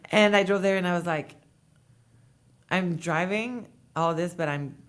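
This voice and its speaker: Low-voiced woman in her 20s, chatty guest, lots of ums. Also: sing-song, slow pace. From Montreal, Canada. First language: French. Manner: chatty storytelling with many fillers. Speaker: interview guest, bikini competitor. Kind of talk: casual interview talk.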